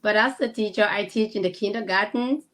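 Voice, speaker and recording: Cameroonian-accented woman, medium pitch. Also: fairly quick, a bit bright. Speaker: interview guest, kindergarten teacher. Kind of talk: casual interview chat.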